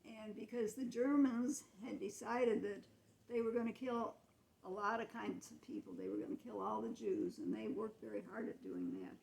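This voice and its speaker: A woman's voice: fairly high pitch, lively intonation, moderate pace, vocal fry, darkish tone, a little hiss or hum, rough recording. An American woman in her eighties telling childhood memories to family in a casual, explanatory, matter-of-fact way. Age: 80s. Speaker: grandmother recalling her childhood.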